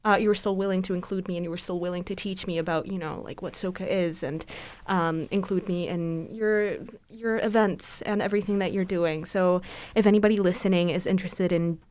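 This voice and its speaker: A woman's voice: lowish voice, fairly even intonation, brisk, a little mellow. A young woman co-hosting a podcast, chatty and upbeat with frequent fillers. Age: young adult. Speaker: podcast co-host. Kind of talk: casual podcast interview.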